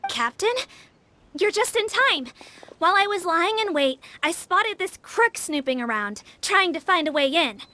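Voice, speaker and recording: A short but tough guard in a video game, cocky and blunt. Her voice is very high, bright and lively as she throws out threats. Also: measured pace, heavily acted.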